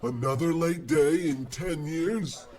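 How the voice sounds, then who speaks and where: A man's voice: fairly high pitch, slow pace, a little mellow. A man playing a stern, petty post office boss with a scolding manner in a radio comedy play. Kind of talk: old-time radio comedy acting.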